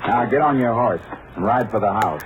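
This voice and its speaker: An actor playing a steady, shrewd American Texas Ranger in a 1950s radio drama, on a thin vintage recording. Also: male voice, lowish voice, moderate pace, narrow, tinny audio, lightly acted. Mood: commanding.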